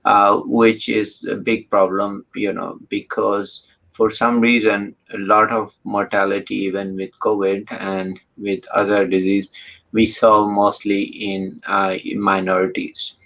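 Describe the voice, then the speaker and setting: Low gravelly male interview host. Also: little pitch movement, a bit bright, low-quality recording. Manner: halting, many restarts and fillers. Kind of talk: casual interview talk.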